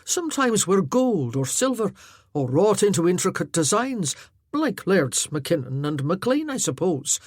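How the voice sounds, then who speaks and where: An earnest giant of a Highland warrior, voiced by a woman reading the story aloud. He talks loudly and sincerely, his pitch rising and falling freely. The recording is close and dry. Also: sing-song, normal speed, dry recording. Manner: earnest, chatty, loud. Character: huge earnest male Highland warrior, former blacksmith.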